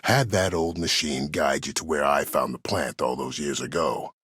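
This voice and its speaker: Very deep, husky ruthless old commander. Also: male voice, a bit bright, dry recording, studio quality, full character voice. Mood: proud, calculating. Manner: measured, formal, imperious, calculating. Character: disgraced ruthless old military commander, coldly self-justifying schemer. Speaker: video game villain. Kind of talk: dramatic game dialogue.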